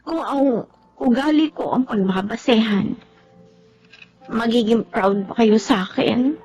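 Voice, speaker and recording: A woman's voice: on the high side, measured pace, darkish tone. A woman playing an insecure young woman in a Filipino radio drama, speaking with a Filipino accent. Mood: hurt, bitter. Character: insecure young woman confiding painfully in her mother.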